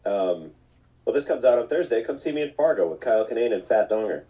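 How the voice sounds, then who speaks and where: A man in his forties, a comedian guest, trades self-mocking jokes on a podcast. His voice is mellow and dark, and his pitch swings a lot. He sits a little back from the mic. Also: expressive, quick pace, distant mic, muffled recording. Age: 40s. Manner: wry, self-mocking, laughs easily. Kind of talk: casual podcast banter.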